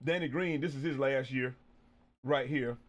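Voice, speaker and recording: A man hosting a casual sports chat show, animated and quick to shout out viewers. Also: mid-range voice, lo-fi sound. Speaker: podcast host.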